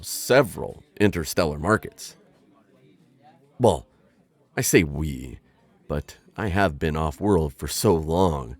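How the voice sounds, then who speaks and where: Casting a male actor as a stiff, formal insectoid alien hunter who hesitates and stammers, with a low, swooping voice, for a dry ASMR roleplay. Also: no echo, full character voice.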